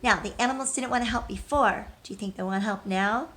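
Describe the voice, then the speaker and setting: A woman teacher reading a storybook aloud expressively, pausing to put questions to young listeners. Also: a little high, fairly bright tone.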